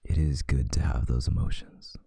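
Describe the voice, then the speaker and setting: A man voicing a possessive yet tender male vampire lover in an intimate, hushed ASMR roleplay, often slipping into a whisper. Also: steady tone, no echo, studio quality, heavily acted. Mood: tender, soothing. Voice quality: very deep, breathy, gravelly, often whispering. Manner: slow, hushed, soothing, seductive.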